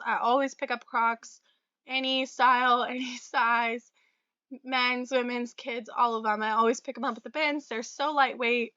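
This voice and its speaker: Young woman, bright tense voice, slightly raspy with a cold, chatty, dry dull recording. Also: on the high side, dry recording. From Florida. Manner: chatty, friendly, many fillers and small laughs. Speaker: reseller vlogger. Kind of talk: casual talk to camera about sales.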